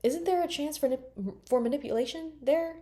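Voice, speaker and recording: A woman's voice: sits high, brisk, mellow. A woman in her late twenties talking bluntly and emphatically in a candid personal vlog, swearing freely. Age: 20s. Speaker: vlogger.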